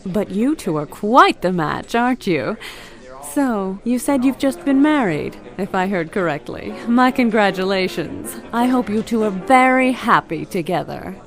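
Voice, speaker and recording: A woman's voice: mid-range voice, expressive, a little slow. A woman playing a gracious, well-bred hostess in a radio play, polite and composed. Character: gracious, well-bred woman company owner hosting dinner. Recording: music bed under dialogue. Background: music.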